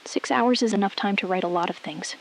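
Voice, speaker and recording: A woman playing a resolute, shrewd astronaut in an audio drama, speaking in a controlled, deliberate way and repeating phrases for emphasis. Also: medium pitch, close to an everyday voice, poor audio. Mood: quiet resolve. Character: resolute, shrewd female astronaut exposing a cover-up. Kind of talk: scripted audio drama, hushed dramatic phone-call acting.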